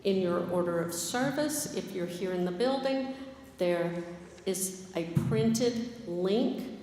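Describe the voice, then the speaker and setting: A woman explaining, clearly and deliberately, how to give to a church congregation. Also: on the low side, animated, mellow, slight background noise. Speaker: finance and operations director. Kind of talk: church announcement. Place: large church sanctuary. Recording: echoey hall with long reverb.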